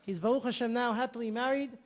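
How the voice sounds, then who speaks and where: A man's voice: extremely high pitch, fast, in a large hall. A man telling an enthusiastic story as a guest on an interview show, often repeating himself. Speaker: guest, charity organizer.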